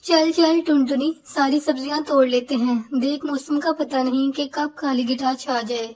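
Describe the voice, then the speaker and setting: A woman voicing a practical, motherly older female bird in a Hindi cartoon, gently chiding. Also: sits high, steady tone, normal speed, natural voice. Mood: brisk, practical. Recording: clearly noisy dialogue track.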